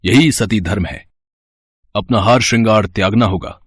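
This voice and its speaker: An actor playing a stern, scheming royal brother-in-law in a storybook drama, commanding and indignant. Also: male voice, fairly low pitch, moderate pace, husky, dry recording, clean studio sound. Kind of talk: acted devotional storybook drama.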